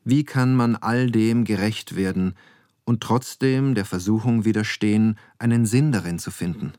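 A man's voice: fairly low pitch, normal speed, warm tone, dry recording, natural voice. An actor as thoughtful male photographer, music behind. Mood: reflective. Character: reflective male photographer musing on his work. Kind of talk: reflective radio play monologue.

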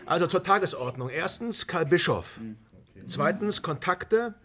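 A rallying underground leader in a radio drama, pompous and declamatory. His voice runs fairly high and swings lively, over a clearly murmuring group. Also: unhurried, crowd noise. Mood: rousing, insistent. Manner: declamatory, pompous, speech-making.

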